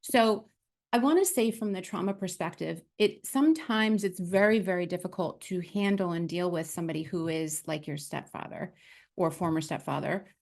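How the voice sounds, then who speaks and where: A woman's voice: on the low side, moderate pace, vocal fry, a little mellow. A woman hosting a podcast, explaining her view thoughtfully and affirmingly, often checking in with "right?". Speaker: podcast host. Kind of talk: conversational podcast commentary.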